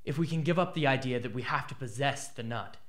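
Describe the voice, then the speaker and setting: A man's voice: mid-range voice, quick pace, close to an everyday voice. An actor playing an embittered, intense young male rebel in a radio drama. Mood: persuasive.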